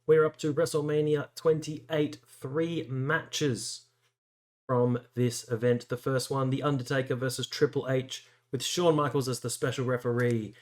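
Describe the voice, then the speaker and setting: A man's voice: mid-range voice, flat delivery, unhurried. A man giving casual gameplay commentary as the host of a gaming video.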